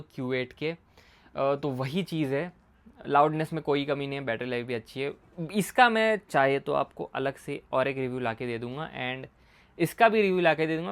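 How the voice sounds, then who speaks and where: Man, slightly high voice, casual vlog explainer, conversational, English words mixed in. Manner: conversational, mixes in English words, repeats points. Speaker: motorcycle gear reviewer and video host.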